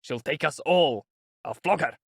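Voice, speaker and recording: A man's voice: on the high side, a little slow, creaky, fairly bright tone, husky, dry recording, clean studio sound, pitched above the performer's own voice, heavily acted. A man playing a bellowing, raging drunken peasant. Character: brutal drunken male peasant cart owner.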